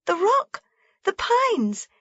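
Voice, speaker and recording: A woman voicing an excited little mountain girl, eager and exclaiming in a high voice. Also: takes it slowly, no room sound, dull audio, exaggerated voice. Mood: awestruck.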